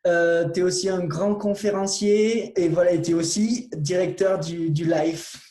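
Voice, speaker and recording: A man hosting a conference who introduces the speaker in a halting way. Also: high voice, fairly even intonation, mellow, roomy sound. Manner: halting, many fillers and restarts. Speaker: conference host.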